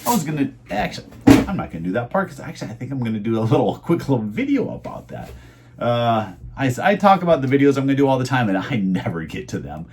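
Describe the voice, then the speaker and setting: A middle-aged man casually rambling in a home vlog about his reseller sales, chatty and meandering with many fillers, his voice going hoarse. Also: medium pitch, sing-song, quick pace, a little mellow, a little hiss or hum. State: losing his voice. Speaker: eBay reseller vlogger.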